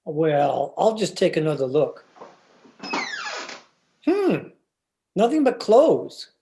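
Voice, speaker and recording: Man playing an animated, flustered radio husband. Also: a little high, slow, vocal fry, over music, full character voice. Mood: puzzled, probing. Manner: hesitant, exasperated. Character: earnest, flustered, worried husband. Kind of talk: old-time radio comedy acting.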